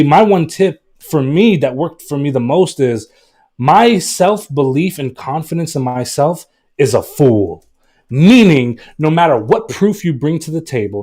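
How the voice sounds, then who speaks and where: Energetic, emphatic man, fairly high voice. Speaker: guest developer on a live stream. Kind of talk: casual live stream chat.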